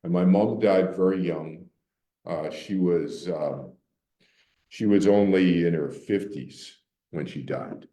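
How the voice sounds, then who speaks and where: Older male speaker, low mellow voice, talking in a roomy library hall. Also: slow. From Boston, Massachusetts. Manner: candid, conversational, repeats words when restarting. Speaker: meditation teacher and speaker. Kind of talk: public talk to a library audience. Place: library meeting room.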